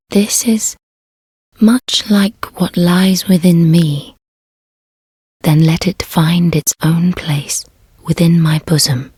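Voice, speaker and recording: A woman playing a gentle, devoted maiden in a fantasy video game, speaking archaic lines in a hushed, breathy, solemn way. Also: fairly low pitch, dry recording, exaggerated voice. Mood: tender, hushed. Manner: slow, solemn archaic speech. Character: gentle, devoted, soft-spoken young maiden serving a lord.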